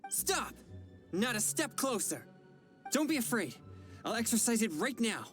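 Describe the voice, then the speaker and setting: A woman voicing a polite, earnest young exorcist in a video game, speaking in a measured way. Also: on the high side, very animated. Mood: urgent. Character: earnest young female exorcist hunting evil spirits. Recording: game audio with background music.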